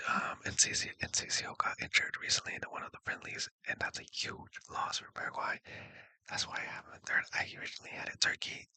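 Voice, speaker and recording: A man speaking in a breathy whisper, giving casual, rambling sports predictions and often correcting himself. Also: bright, forceful tone, no echo, rough recording. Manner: hesitant, rambling, self-correcting. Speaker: sports video creator.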